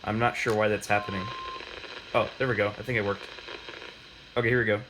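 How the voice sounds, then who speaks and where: A man giving a casual, unscripted how-to demonstration, halting and often correcting himself as he talks. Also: on the low side, little pitch movement, background noise. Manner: halting, self-correcting delivery. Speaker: host of a hobbyist demonstration video.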